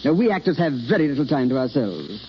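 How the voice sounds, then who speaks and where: An actor playing a vain, self-important stage star in a 1930s radio drama, pompous, theatrical and courteous. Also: male voice, mid-range voice, big pitch swings, quick pace, close to an everyday voice. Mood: polite, self-satisfied. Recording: muffled, hissy 1930s radio recording.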